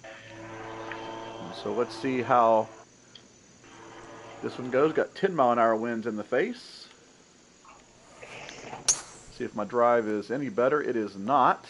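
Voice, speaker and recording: A man's voice: mid-range voice, slow pace, pauses often, a bit bright, a little hiss or hum, poor audio. A man in his thirties with a nasal, congested voice from a cold, hosting a live golf game stream and commentating his shots in a headset. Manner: upbeat, welcoming, talks through his own shots. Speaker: stream host. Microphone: gaming headset.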